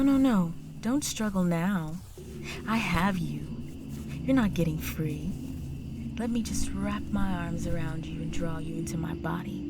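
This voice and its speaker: A woman playing a predatory, condescending vampire countess in an intimate audio drama, with a teasing, aristocratic manner and breathy whispers. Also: on the low side. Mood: possessive, coaxing. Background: music.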